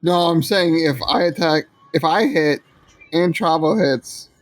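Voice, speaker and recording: Higher-voiced man planning his move. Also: unhurried. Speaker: player. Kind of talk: casual tabletop game talk.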